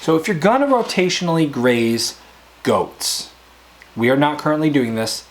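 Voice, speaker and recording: A man hosting a homesteading Q&A, answering viewer questions in a casual, rambling way with a hoarse voice. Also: on the high side, sing-song, normal speed. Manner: rambling, conversational, plain-spoken. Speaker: homesteading channel host.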